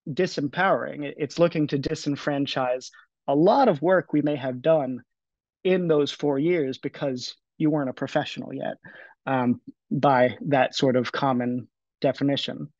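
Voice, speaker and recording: A man's voice: a little high, normal speed, darkish tone, no echo. A man in his twenties speaking casually on a panel over a video call, with frequent fillers and restarts. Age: 20s. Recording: dry, dull lo-fi audio.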